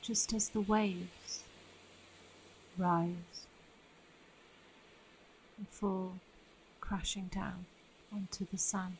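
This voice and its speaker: A woman guiding a meditation in a soothing, unhurried manner with long pauses between phrases. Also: mid-range voice, level tone, a little hiss or hum, poor audio. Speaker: meditation guide. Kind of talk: guided meditation narration.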